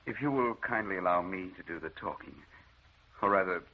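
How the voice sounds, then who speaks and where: An actor playing a grave doctor in an old radio drama, speaking in a formal, measured way. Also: male voice, low-pitched. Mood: solemn, formal. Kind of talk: 1940s radio drama acting. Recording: muffled, hissy old radio recording.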